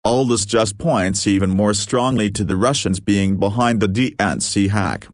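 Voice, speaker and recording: Guy narrating a news special, lowish voice, steady formal read, dry close sound. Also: normal speed, no echo.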